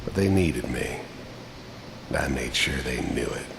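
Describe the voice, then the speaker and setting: A very low-voiced, cynical hired killer from a video game. He talks with cold, deadpan menace. The sound is roomy, with noticeable noise behind him. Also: measured pace, gravelly, full character voice. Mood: world-weary, cold. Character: hardened cynical male mercenary, cold gravelly bounty hunter. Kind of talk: video game voice acting, reflective narration.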